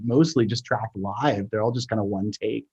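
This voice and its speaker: A man with a husky voice chatting casually as a guest, enthusiastic but halting as he talks. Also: on the low side, steady tone, a little mellow. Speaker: sound designer, guest. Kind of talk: casual chat.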